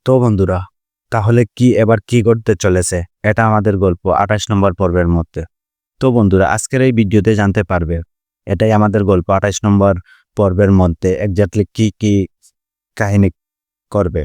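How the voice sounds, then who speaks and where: A man's voice: on the low side, fairly even intonation, moderate pace, a little mellow, no echo, studio quality. A man with a Bangladeshi accent narrating a drama series update as a channel host, repetitively addressing viewers as friends. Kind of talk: scripted YouTube review narration.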